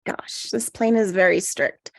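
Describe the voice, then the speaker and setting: A woman's voice: mid-range voice, measured pace, vocal fry, no room sound, clean studio sound. A woman in a comedy table read playing a drugged, loopy airline passenger with a woozy, rambling drawl. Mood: loopy, defiant.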